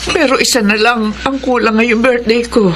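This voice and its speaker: A woman's voice: a little high, fairly even intonation, normal speed, background noise, close to an everyday voice. An actress playing a tender, emotional middle-aged mother in a Filipino radio drama. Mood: wistful, grateful. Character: tender, emotional mother of grown children, grateful, longing. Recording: music behind the voice.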